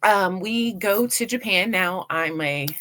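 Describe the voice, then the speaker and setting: A middle-aged woman from Washington, D.C., answering casually as a talk-show guest in an expressive, emphatic, conversational way. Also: medium pitch, slow pace. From Washington, D.C., United States. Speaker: interview guest, PR agency owner.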